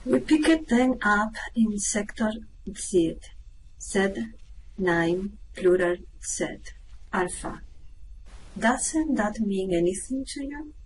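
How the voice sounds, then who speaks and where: Lady playing calm sensible spacefarer, radio drama, unhurried and dry, bit of hiss behind her. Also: medium pitch, rough recording. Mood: matter-of-fact. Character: level-headed, patient, dryly unimpressed spacefaring woman. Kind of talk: amateur radio play acting.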